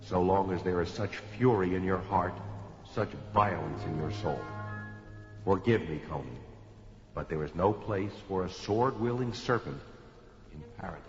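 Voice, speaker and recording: A man as a deep, solemn otherworldly guardian. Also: close to an everyday voice. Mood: sorrowful. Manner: grave, measured, regretful declamation. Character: solemn, sorrowful guardian of paradise's veil. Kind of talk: fantasy audio drama acting. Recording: echoing reverb, dull, loud music underneath.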